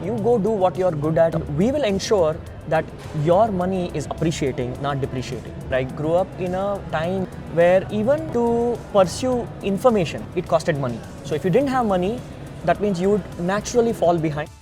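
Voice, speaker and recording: A man's voice: expressive, moderate pace, over music. A man from India with an Indian accent, a founder being interviewed, explaining emphatically step by step in earnest. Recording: noisy outdoor ambience with traffic rumble.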